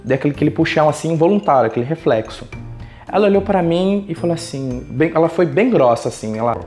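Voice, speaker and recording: A man's voice: fairly high pitch, fast talker, soft background music. A man from Brazil with a Brazilian accent, telling a personal story casually and colloquially as a YouTuber. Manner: colloquial, animated retelling with acted quotes.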